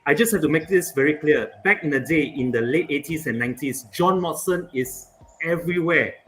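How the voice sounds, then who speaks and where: A man whose first language is Chinese, a guest on a casual podcast, explaining his choices at length in a deliberate way over a video call. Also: on the high side, a bit bright, faint music behind. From Asia. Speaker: panel guest.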